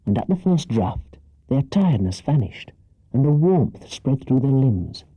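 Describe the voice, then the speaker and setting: Dark, husky male storyteller. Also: medium pitch, big pitch swings, unhurried, no echo, poor audio. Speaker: audiobook narrator. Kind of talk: dramatic scripted children's story narration.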